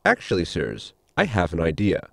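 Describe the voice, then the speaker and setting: A man's voice: low-pitched, wildly swooping pitch, vocal fry, fairly bright tone, dry recording, exaggerated voice. An actor playing a polite, precise spaceship science crewman in a comedy audio drama, speaking formally and earnestly. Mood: earnest, explanatory. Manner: formal, measured, explanatory.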